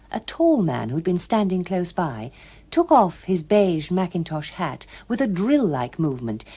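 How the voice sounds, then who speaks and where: Female audiobook narrator, English accent: lowish, dark-toned voice, expressive scripted storytelling. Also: slightly rough.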